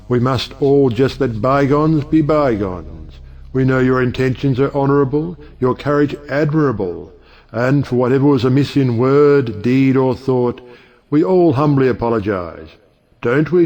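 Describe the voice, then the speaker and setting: Smooth, persuasive older judge played by a man. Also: mid-range voice, a little slow, darkish tone, soft background music, close to an everyday voice. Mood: smooth, conciliatory. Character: genial, scheming older judge uncle smoothing over family quarrels. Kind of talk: audio play acting.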